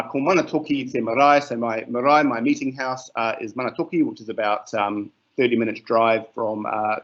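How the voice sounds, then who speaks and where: A middle-aged man with a New Zealand accent, a teacher giving a casual webinar presentation with many fillers. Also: medium pitch. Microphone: video call. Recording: muffled online call audio.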